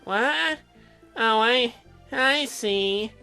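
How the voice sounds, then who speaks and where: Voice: mid-range voice, very slow, gravelly, pushed and bright, clear, resonant tone, soft background music, exaggerated voice. A game player voicing a needy, awkward teenage boy who stammers hopefully. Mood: hurt, hopeful.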